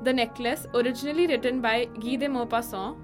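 A woman's voice: fairly high pitch, steady tone, fairly quick. A young woman reading scripted credits as the announcer of a radio drama. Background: music.

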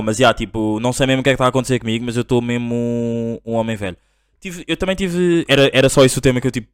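A man's voice: mid-range voice, clear, resonant tone, no room sound, clean studio sound. A young man from Angola hosting a podcast, talking casually and loosely with lots of slang fillers. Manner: slangy, drawn-out "tipo" and "bro". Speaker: podcast host.

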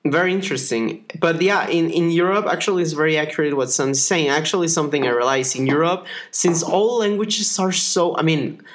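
A man's voice: a little high, brisk, hardly any pauses, a little hiss or hum. A man from Mexico with a Mexican accent, a native Spanish speaker, talking casually in English and explaining things informally as a podcast co-host. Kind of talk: casual conversational chat.

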